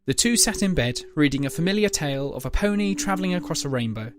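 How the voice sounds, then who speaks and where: A man's voice: a little high, animated, fast. A man narrating a scripted dramatic story as the narrator of an audio drama.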